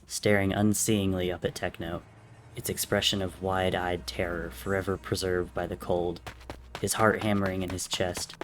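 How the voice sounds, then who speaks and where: A man's voice: sits low, slight background noise. A man reading fiction as a dramatic, scripted narrator. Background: soft music or ambience.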